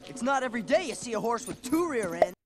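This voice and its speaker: A cheeky young cartoon hero. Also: male voice, extremely high pitch, sing-song, fast talker, over music. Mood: teasing. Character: cheeky young street hero man, playful.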